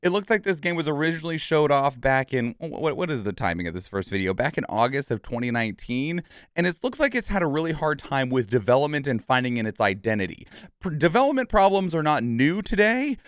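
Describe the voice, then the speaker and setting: A man gives casual reaction commentary in a fairly high, very animated voice. He rambles and stammers as he thinks aloud, and his voice comes through clean and smooth in a dry recording. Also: no echo. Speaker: reaction channel host.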